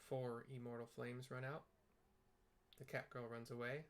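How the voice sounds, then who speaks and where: A man casually describing a video game's cutscenes as they play, in a relaxed way and often correcting himself. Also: medium pitch, moderate pace, clear, resonant tone. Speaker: let's play narrator. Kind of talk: casual commentary on a game.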